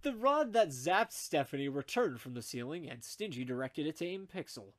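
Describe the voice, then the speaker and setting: A man narrating fan fiction in an amateur comedic group reading, animated and dropping wry asides. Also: fairly high pitch, moderate pace.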